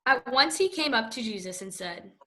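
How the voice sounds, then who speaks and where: A woman narrating a scripture reading in a steady, even read-aloud way. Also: on the high side, normal speed.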